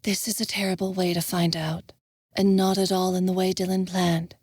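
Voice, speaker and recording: Gentle queen-mother type who calms others, read by a woman. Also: fairly low pitch, normal speed, breathy edge, no room sound, studio quality. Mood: soothing. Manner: soothing, gentle. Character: gentle royal mother, middle-aged woman.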